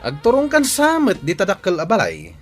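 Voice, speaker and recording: High-pitched bullying accuser. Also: male voice, huge pitch swings, moderate pace, over music. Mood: taunting. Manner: taunting, confrontational. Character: bullying, suspicious male villager, quick to accuse. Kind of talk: radio drama acting.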